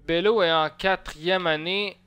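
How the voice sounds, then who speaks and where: Clear-voiced, swearing Quebec man gaming. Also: high-pitched, fairly even intonation, measured pace, a bit bright, dry recording. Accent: Quebec French. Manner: swears constantly, grumbling. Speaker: live streamer. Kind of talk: casual chat while gaming. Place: indoor room at home.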